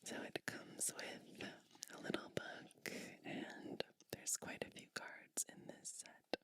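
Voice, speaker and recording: A woman whispering a soothing, attentive ASMR roleplay, breathy and unhurried, speaking directly to the listener. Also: a bit bright. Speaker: ASMR creator playing a gentle witch.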